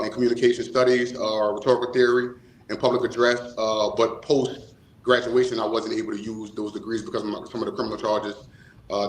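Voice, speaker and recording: A man giving reflective interview answers, choosing formal words in measured, hesitant phrases. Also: medium pitch, fairly bright tone. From New Orleans, USA. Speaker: nonprofit co-founder, interview guest. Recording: roomy, echoing voice.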